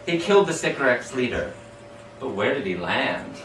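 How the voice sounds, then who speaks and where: A man giving an expressive, dramatic recital of storytelling verse in a sing-song rhyming rhythm. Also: mid-range voice, normal speed. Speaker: amateur poet reading their own poem. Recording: echoey room, distant mic, background hiss.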